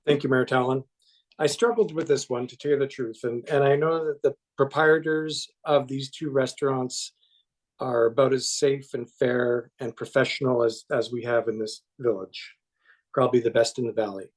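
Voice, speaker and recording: A man, a councillor, speaking against a motion in council debate in an earnest, halting, self-deprecating way. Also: mid-range voice, steady tone, low-quality recording. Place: council chamber.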